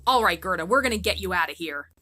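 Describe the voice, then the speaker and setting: Lady doing a knife-happy robber girl, swears a lot, bright and bouncy. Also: on the low side, expressive, fast. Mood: tough, teasing. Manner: threatening, swearing, blunt. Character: crude tough robber girl, fond of knives.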